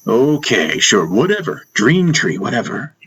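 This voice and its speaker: A man voicing a brisk, worldly and dismissive male soldier in an audiobook. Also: mid-range voice, very animated, fairly bright tone, breathy edge, voice pushed up, exaggerated voice. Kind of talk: audiobook character dialogue.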